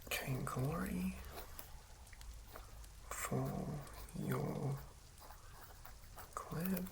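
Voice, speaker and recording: An ASMR host speaking in a hushed, halting whisper, musing aloud through unscripted commentary. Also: mid-range voice, gravelly, very noisy.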